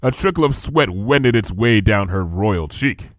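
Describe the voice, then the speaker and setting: Male story reader, lively dramatic delivery, dry close sound, action narration. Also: on the low side, unhurried, dry recording. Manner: vivid, animated action narration. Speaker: fanfiction reader.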